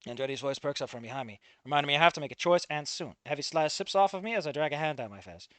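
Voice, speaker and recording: A man's voice: fairly high pitch, lively intonation, racing, a bit bright, dry recording. A man reading fan fiction aloud, breaking off to swear and comment on it.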